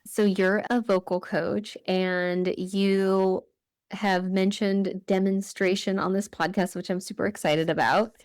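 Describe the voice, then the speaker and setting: Woman host, smooth clear voice, dry close sound, upbeat and laughing. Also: medium pitch, level tone, measured pace, a little mellow, no room sound. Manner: enthusiastic, laughs easily. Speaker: podcast host. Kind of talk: casual interview chat.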